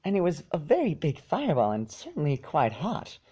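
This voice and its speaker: Timid storybook girl pony, played by a man: high, small, soft, sweet and hesitant, on a dull recording. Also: huge pitch swings, a little fast, slightly rough, exaggerated voice. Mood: shaken, polite. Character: timid, gentle, polite female pony.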